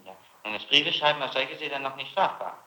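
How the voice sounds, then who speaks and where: A man's voice: medium pitch, brisk, bright, forceful tone. A man working as a prosecutor, questioning formally in a pressing, reproachful way, on an old 1960s interrogation recording. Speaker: public prosecutor conducting an interrogation. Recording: muffled, echoey, noisy 1960s interrogation tape.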